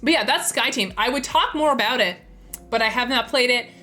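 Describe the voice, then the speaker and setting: A young woman hosting a relaxed live stream, thinking aloud with lots of fillers and easy enthusiasm. Her voice sounds slightly strained, as if she is losing it. Soft music plays faintly in the background. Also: a little high, brisk. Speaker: streamer and host. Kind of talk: casual live-stream chat.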